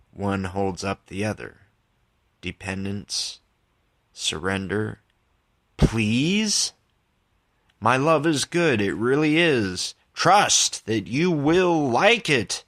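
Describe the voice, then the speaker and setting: A man with a fairly low but bright, forward voice hosts a poetry podcast. He takes his time, with a very unhurried pace, and comes across as informal and candid, somewhere between reading verse and chatting about it. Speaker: podcast host and poet. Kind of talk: reading own poems with casual commentary.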